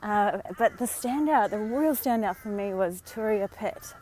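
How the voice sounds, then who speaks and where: An Australian woman talks casually into her phone while out walking, her voice a little hoarse and croaky from a sore throat. She speaks in a chatty, encouraging way, with plenty of ums and friendly asides, at a middling pitch. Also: lively intonation, a little slow, a little mellow, a little hiss or hum. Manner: chatty, encouraging, many fillers. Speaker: vlogger and dating coach. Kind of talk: casual live-stream talk. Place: outdoors on a walk.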